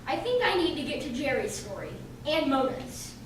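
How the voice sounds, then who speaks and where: Woman playing methodical male detective, radio play, high voice, far from mic. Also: sing-song, moderate pace. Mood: inquiring. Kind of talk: amateur radio play acting. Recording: very echoey room, distant mic, faint hiss.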